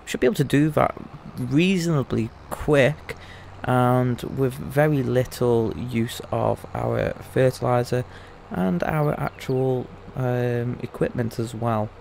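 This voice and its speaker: A man's voice: mid-range voice, takes it slowly, gravelly, darkish tone, a little hiss or hum. A young man in casual let's-play commentary, chatty and rambling, his voice still a bit rusty after losing it. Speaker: gaming YouTuber.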